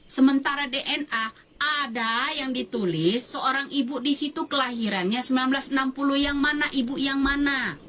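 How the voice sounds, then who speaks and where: A woman's voice: high-pitched, moderate pace, a bit bright, slight background noise. Fiery, emphatic woman in her fifties. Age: 50s. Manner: fiery, emphatic, repeats phrases and demands answers. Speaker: social media commentator. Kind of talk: impassioned video statement.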